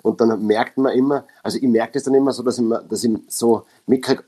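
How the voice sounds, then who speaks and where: A man's voice: mid-range voice, fairly even intonation, brisk, mellow. A man from Upper Austria with an Austrian accent, an actor talking casually and thoughtfully about a reading he gave, often correcting himself. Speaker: actor and guest reader. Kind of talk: casual podcast conversation.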